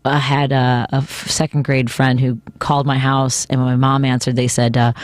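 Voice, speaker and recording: A woman's voice: extremely low pitch, flat delivery, normal speed, no room sound. A woman from Chicago hosting a talk radio show, chatting in a personal way and sharing her own memories with a guest. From Chicago, USA. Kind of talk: casual talk radio conversation.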